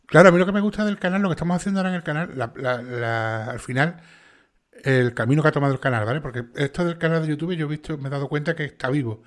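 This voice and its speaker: A man with a strong Sevillian Andalusian accent, hosting a casual livestream chat and checking in often with his listeners. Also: on the high side. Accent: Sevillian Andalusian Spanish. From Seville, Spain. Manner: fast, chatty, with many restarts and "¿vale?" checks. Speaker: channel host and narrator.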